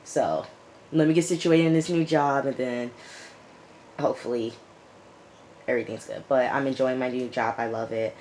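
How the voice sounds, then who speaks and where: A woman with a raspy, hoarse voice from recent illness, reviewing a TV episode in a casual vlog and repeating her points for emphasis. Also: rumbling low, steady tone, normal speed, long pauses, a little mellow, slight background noise, low-quality recording. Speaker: YouTube TV reviewer.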